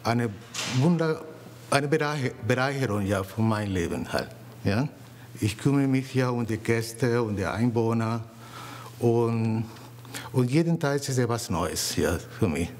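A man from Venezuela, speaking haltingly and searching for words as a concierge in an interview. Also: medium pitch, lively intonation, darkish tone. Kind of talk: casual interview.

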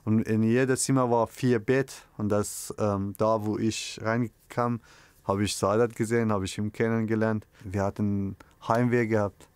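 A man recalling his arrival in a personal testimony, speaking plain, slightly halting German. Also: on the low side, level tone, clear, resonant tone, no room sound. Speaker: interviewee.

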